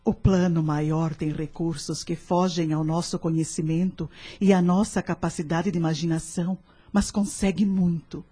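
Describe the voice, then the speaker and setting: A serene, wise prayer-group leader in a radio drama. Her voice is lowish and dark with some huskiness, and she instructs formally, in a gently consoling manner. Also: level tone, moderate pace, slightly rough. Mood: serene instruction.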